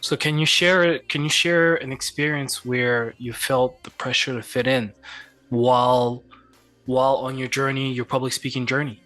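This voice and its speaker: Bright-voiced man hosting. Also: medium pitch, fairly even intonation, moderate pace, soft background music. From France. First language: French. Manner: encouraging, thoughtful questioner. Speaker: podcast host. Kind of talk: conversational interview.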